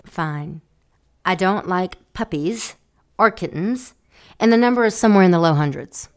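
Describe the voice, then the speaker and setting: A woman as a sly seductive demon, lowish voice. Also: normal speed, darkish tone, clean tone, close to an everyday voice. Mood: flirtatious. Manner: sly, flirtatious. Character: seductive scheming female demon. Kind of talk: audio drama acting.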